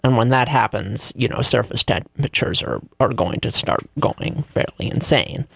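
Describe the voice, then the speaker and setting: A man co-hosting a casual talk show, with dry, self-deprecating humor and halting, repetitive phrasing. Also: mid-range voice, lively intonation, vocal fry, a bit bright, husky, no room sound. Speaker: radio show co-host. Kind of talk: casual banter and commentary.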